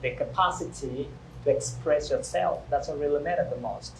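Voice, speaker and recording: A man's voice: on the high side, normal speed, gravelly. Echoey young man answering, Portuguese native. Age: 20s. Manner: earnest, hesitant, restarts often. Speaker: guest. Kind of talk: interview answers. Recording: echoey room, faint noise.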